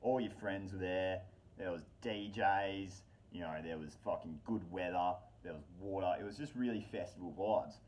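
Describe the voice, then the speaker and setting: Male storyteller, low-pitched, casual and rambling, slangy and sweary, leaning on repetition for dramatic effect. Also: normal speed, a little mellow, slight background noise.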